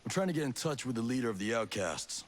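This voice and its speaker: An actor playing a gruff, wry soldier in a video game, speaking in a dry, blunt, husky voice. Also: male voice, medium pitch, animated, fast talker, close to an everyday voice. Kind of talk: video game cutscene acting.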